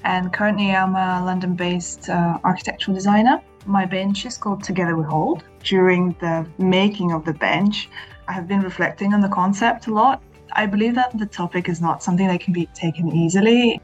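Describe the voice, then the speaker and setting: Brief: woman designer from Kazakhstan, lowish voice, reflective, dull lo-fi sound with faint music. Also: brisk. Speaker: architectural designer. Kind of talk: interview monologue.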